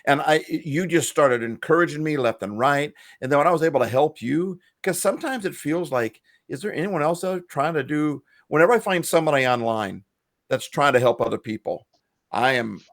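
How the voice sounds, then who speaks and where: A man's voice: on the high side, lively intonation, a little fast, a bit bright, no echo, studio quality. A man co-hosting a podcast over a video call, talking earnestly and emotionally about helping people. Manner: earnest, emotional, self-described feeler. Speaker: podcast co-host. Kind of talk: casual video-call chat.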